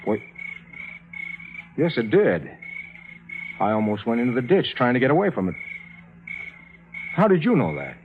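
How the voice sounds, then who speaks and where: A man's voice: medium pitch, very animated, normal speed, long pauses. Troubled skeptical man, old radio drama. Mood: urgent, worried. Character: troubled, skeptical male friend narrating a strange tale. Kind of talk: 1950s radio drama acting and narration. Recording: dull 1950s radio recording with music.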